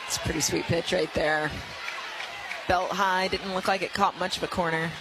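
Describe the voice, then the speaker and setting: A woman giving conversational color analysis on a live radio softball broadcast. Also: fairly low pitch, steady tone, moderate pace, crowd noise. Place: outdoor softball stadium. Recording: noisy outdoor ballpark broadcast. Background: loud ambient ballpark noise.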